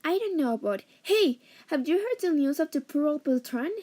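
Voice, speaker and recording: A woman's voice: high-pitched, lively intonation, moderate pace, dark tone. A woman playing a respectful servant reporting news to the master in an amateur radio drama. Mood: earnest, deferential.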